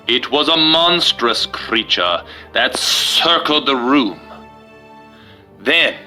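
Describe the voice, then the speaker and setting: A male storyteller with a very expressive, lively pitch and a clear forward brightness. He hosts casually and reads spooky tales with theatrical suspense, with faint music behind him. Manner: theatrical, suspenseful delivery when reading. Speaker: host and storyteller. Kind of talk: casual chat and dramatic storybook reading.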